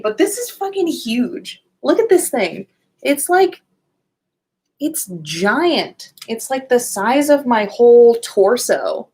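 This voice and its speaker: Slow-paced, playful woman livestreamer. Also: fairly high pitch, slightly rough. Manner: playful, sing-song at times; informal, swears casually. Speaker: livestream host. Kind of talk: casual livestream chat.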